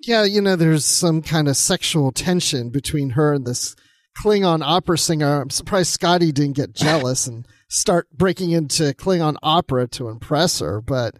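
A man with a fairly high voice co-hosts a podcast discussion. He speaks casually and offers his opinions freely. The sound is close and dry, with no audible room. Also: expressive, normal speed, dry recording. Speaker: podcast co-host.

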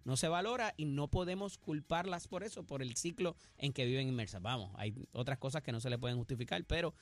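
A man's voice: medium pitch, a little fast, dry recording. A man hosting a casual radio panel chat, thoughtful, with frequent fillers and tag questions. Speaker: radio show host.

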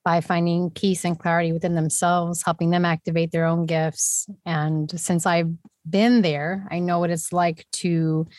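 A woman from New York and Puerto Rico, a podcast guest talking in an animated, casual way and laughing often. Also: on the low side, a little slow, no room sound.

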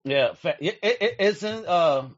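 A man's voice: high-pitched, expressive, measured pace, lo-fi sound. A man hosting a casual video call chat, enthusiastic, laughing often and filling his talk with "you know". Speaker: host.

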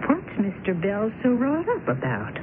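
An actress playing a knowing, plain-spoken housekeeper in a radio drama, dry and homely as she gently cautions. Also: medium pitch, wildly swooping pitch, measured pace. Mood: probing, dry. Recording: tinny, noisy old radio broadcast.

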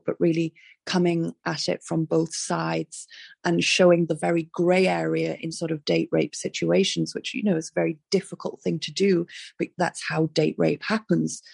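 A woman speaks with a hoarse, raspy voice, heavy with fry. Her delivery is fairly flat and earnest, full of fillers and restarts. The sound is dry and close over a video call. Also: on the low side, no echo. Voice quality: raspy, gravelly. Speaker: playwright guest.

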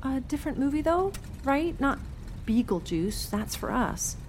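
A woman voicing an affectionate, gently teasing mother, coaxing and a little hesitant, in dramatised audiobook dialogue. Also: high-pitched, sing-song, measured pace, a little hiss or hum, voice pushed up. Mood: hesitant, let down.

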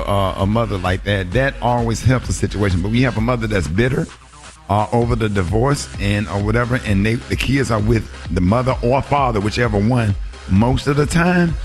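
A man hosting a casual radio morning show, talking in a halting way and repeating his words. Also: on the low side. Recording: music playing behind the voice. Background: hip hop music.

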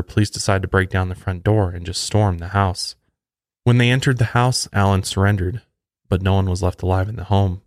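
A man's voice: lowish voice, darkish tone, no room sound, clean studio sound. A man hosting a true crime podcast, reading a scripted narration.

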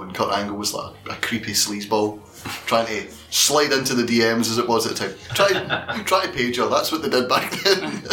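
A man's voice: medium pitch, a bit bright, echoey room, poor audio. A man co-hosting a podcast, chatting casually and laughing often. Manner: laughs often while talking. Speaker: podcast co-host. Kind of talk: casual chat.

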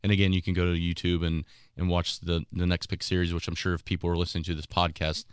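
Deep, smooth-voiced man co-hosting. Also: fast talker, dry recording, studio quality. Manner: relaxed, laughs easily, loose. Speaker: podcast co-host. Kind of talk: casual sports podcast chat.

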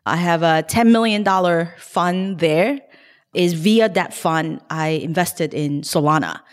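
Woman, lowish voice, podcast guest, long roomy reverb. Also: takes it slowly. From Hong Kong. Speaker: guest investor. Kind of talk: casual podcast interview.

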